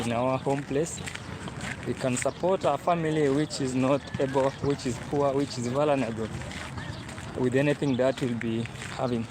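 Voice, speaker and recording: Kenyan male travel vlogger, young, mid-pitched, chatty and repetitive, filmed on a phone with clear street noise behind. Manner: chatty, repeats himself, talks to viewers. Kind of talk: walking livestream vlog. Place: outdoors on a city street.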